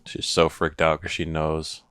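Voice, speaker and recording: A man's voice: rumbling low, measured pace, faint music behind. A man hosting a TV reaction video, commenting casually and animatedly. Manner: animated, casual, swears freely.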